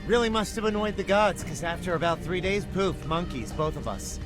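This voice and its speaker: A man playing a high-voiced goofy monkey. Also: a little fast, over music, close to an everyday voice. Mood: offhand. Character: goofy, casual, fibbing talking monkey. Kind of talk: video game voice acting.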